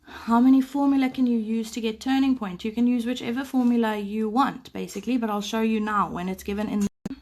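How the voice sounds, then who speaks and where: A woman who is sick teaches a live maths lesson, explaining patiently and clearing her throat often. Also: a little high, steady tone, fairly quick, a little mellow. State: sick, voice a bit off. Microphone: phone camera over paper.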